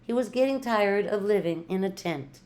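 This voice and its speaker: An older woman, a retired teacher, reads a picture book aloud to children with playful, rhythmic emphasis and drawn-out words. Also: mid-range voice, animated, a little mellow.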